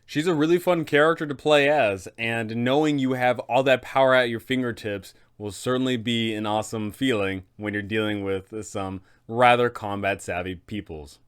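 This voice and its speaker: A man's voice: medium pitch, normal speed, few pauses, clean tone. A man hosting a tabletop game guide, narrating in an explanatory way with dramatic emphasis. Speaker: host of a game master guide. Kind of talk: semi-scripted explanatory narration.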